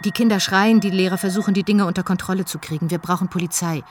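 A woman's voice: lowish voice, fairly even intonation, fast talker, a little hiss or hum. A woman reading a German voice-over translation of other speakers' words.

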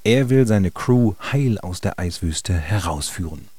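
A man reading scripted radio drama narration in a measured storytelling manner. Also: deep voice, expressive, a little mellow, husky. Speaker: narrator and announcer.

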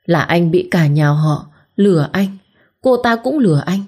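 A woman's voice: on the low side, lively intonation, measured pace, warm tone, slightly rough. A woman voicing a young village man who speaks earnestly and protectively to the woman he loves. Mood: tender. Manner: earnest, pleading.

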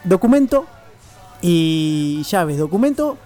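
A man's voice: high-pitched, big pitch swings, slow, mellow. A young man with an Argentine accent, sharing colloquial anecdotes as co-host of a casual radio chat. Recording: music playing behind. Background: background music.

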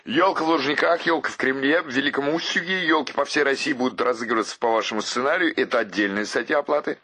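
A pushy male publisher in a radio drama. He talks fast and businesslike in a forceful, husky voice, pressing his deal without letting up. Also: mid-range voice. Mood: pushy, persuasive. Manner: pressing, businesslike salesman patter. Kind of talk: radio play acting.

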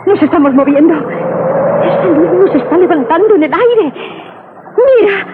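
A woman's voice: very high-pitched, lively intonation, measured pace. An actress with a Castilian Spanish accent playing an anxious, wonderstruck mother in a dramatic, emotional 1980s radio drama style. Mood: amazed. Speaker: radio actor. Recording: tinny, noisy 1980s radio recording.

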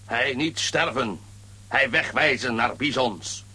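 A man's voice: medium pitch, takes it slowly, pushed and bright, husky, full character voice. A man playing a proud, stern chief who speaks in clipped, broken sentences and grunts in a comic children's radio play. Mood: solemn, stern.

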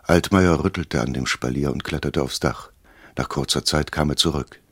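An actor playing an uneasy first-person narrator in a radio crime drama, telling the story in a measured literary way with a husky voice. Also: male voice, very deep voice, fairly bright tone, no room sound, heavily acted. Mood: sober, measured.

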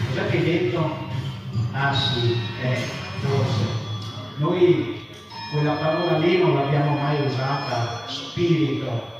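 Echoing man storytelling over loud electronic music. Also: on the high side, dull audio. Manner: colloquial, emphatic, with swearing and conversational asides. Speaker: author reading his story at a festival. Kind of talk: dramatic first-person spoken-word storytelling to music. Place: live festival venue at an occupied factory. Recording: very echoey, distant live festival recording with music.

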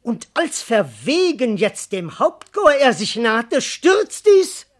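An actor playing a boastful but cowardly servant in a classical verse radio comedy, declaiming self-importantly and muttering to himself. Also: male voice, extremely high pitch, big pitch swings, takes it slowly, exaggerated voice. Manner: theatrical, declamatory, self-important, shifting between muttering and proclaiming.

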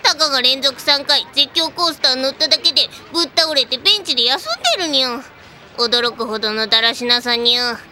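A woman's voice: high-pitched, a little fast, noisy background, lightly acted. A woman voicing a brash, bossy young cat-eared girl in an anime audio drama, childlike and cheeky, ending sentences with a cat-like nya. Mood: scornful. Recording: music playing loudly behind.

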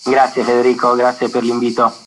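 A man's voice: mid-range voice, monotone, fairly quick, a bit bright, a little hiss or hum, low-quality recording. A man speaking as an interviewed author, explaining analytically in long sentences.

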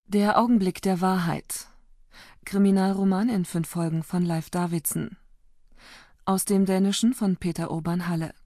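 A woman with a soft, husky voice reading scripted radio drama credits in a measured, neutral way as the announcer. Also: fairly low pitch, fairly even intonation, no room sound, studio quality.

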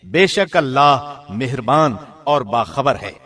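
A man reads a scripture translation from a script like a radio presenter, formal and measured, with clear phrasing. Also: mid-range voice, animated, a bit bright.

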